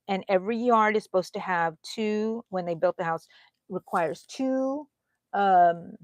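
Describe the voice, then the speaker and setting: Woman host, middle-aged, from Texas; rambling live-stream chat, animated, mid-pitched, drops to whispers. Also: dry recording, clean studio sound. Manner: chatty and animated, swinging from loud to soft whispers. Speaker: crochet channel host.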